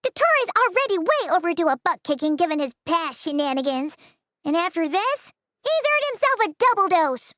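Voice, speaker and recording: A squeaky little game companion creature. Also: female voice, big pitch swings, moderate pace, a bit bright, no room sound, studio quality, exaggerated voice. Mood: fired up. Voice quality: squeaky, childlike. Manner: blunt, eager, indignant. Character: small excitable floating companion creature, cheeky. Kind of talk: video game dialogue. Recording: game audio with music behind.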